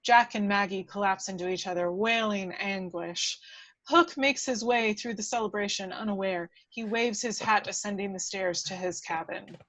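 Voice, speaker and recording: A woman's voice: mid-range voice, moderate pace, fairly bright tone. A woman reading scripted screenplay action as the narrator of a table read. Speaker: stage-direction reader.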